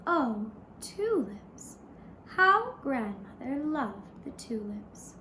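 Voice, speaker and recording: A woman storyteller narrating a fairy tale for young children in a gentle, measured manner with short pausing phrases. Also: high-pitched, very animated. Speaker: puppet show storyteller. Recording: roomy echo, clear background noise.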